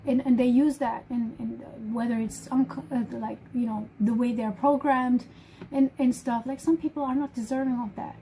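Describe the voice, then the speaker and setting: High-voiced woman with a German accent. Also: fairly even intonation, normal speed, mellow, a little hiss or hum, poor audio. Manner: emphatic, repeats and restarts phrases. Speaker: livestream host. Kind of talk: casual live-stream chat.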